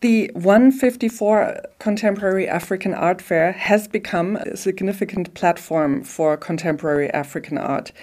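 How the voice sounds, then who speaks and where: A woman hosting an art podcast, asking measured, prepared interview questions. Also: on the low side, a little mellow.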